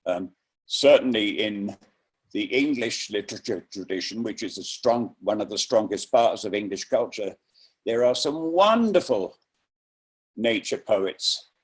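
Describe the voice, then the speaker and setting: A man talking informally and reflectively on a video call, unscripted, pausing and picking his words as he introduces something. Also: fairly low pitch, lively intonation, husky. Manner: slow, reflective, halting with pauses. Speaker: poetry reader introducing an event.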